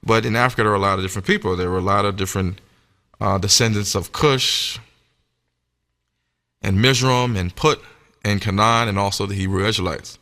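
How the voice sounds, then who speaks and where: A man's voice rings through a long reverb, fairly low in pitch. He delivers a religious lecture with a bright, forceful edge. His manner is emphatic and instructive, like a teacher of scripture. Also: a little fast, long pauses. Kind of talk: sermon-like lecture with scripture reading. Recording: echoey hall with long reverb.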